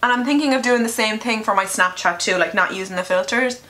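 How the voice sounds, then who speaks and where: A young woman with a crisp, bright voice, slightly nasal from a lingering cold. She chats candidly and casually, swearing now and then, as she talks to a camera at her desk in a small bedroom. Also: mid-range voice, quick pace. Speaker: beauty vlogger. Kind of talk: chatty makeup tutorial.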